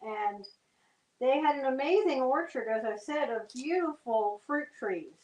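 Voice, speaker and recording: An older woman from New Jersey walks through a family recipe step by step. Her voice sits a bit high, and her manner is homey and plain-spoken. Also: lively intonation, measured pace, darkish tone, roomy sound, poor audio. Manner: homey, explains steps plainly. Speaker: guest cook. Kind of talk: cooking demonstration chat.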